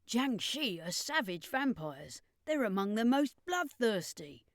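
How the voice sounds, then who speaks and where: A woman's voice: on the high side, sing-song, measured pace, no echo, voice pushed up. A woman as streetwise vampire woman, blunt, wary, colloquial, audiobook, dry sound. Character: brash, streetwise, suspicious female vampire, protective of children.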